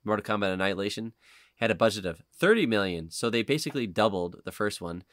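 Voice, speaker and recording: A man co-hosting a podcast in casual, animated, sweary conversation. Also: on the low side, fast, dry recording, clean studio sound. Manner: animated, profane. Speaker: podcast co-host. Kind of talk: casual chat.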